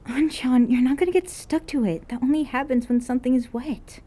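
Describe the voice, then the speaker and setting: A woman voicing a gentle, sheepish teenage girl in a story reading, polite and softly pleading. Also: sits high, a little fast, pitched above the performer's own voice. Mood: amused, reassuring. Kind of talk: acted dialogue in fan fiction reading.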